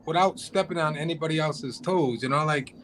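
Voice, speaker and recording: A man's voice: fairly high pitch, fairly quick, a bit bright, slight background noise, low-quality recording. A man talking as a guest on a live drone show, rambling with many restarts and fillers. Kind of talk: casual chat on a live show. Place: home, cold region.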